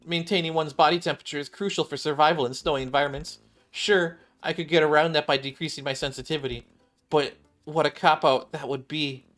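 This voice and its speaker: A man's voice: on the high side, steady tone, brisk, fairly bright tone, pitched above the performer's own voice, natural voice. A man voicing an eager, boastful young female survivalist rookie from a video game, chatty and overconfident, with music behind. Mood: boastful, insistent.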